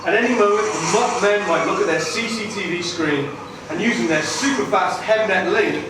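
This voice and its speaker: Guy narrating a puppet show story, high voice, really echoey noisy hall. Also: moderate pace, distant mic.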